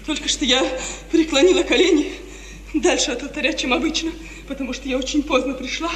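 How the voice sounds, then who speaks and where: A woman's voice: sits high, brisk, natural voice. An actress playing a frightened, pious young woman, agitated and impassioned in 1950s Russian radio theatre. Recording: reverberant, faintly noisy 1950s radio recording, distant.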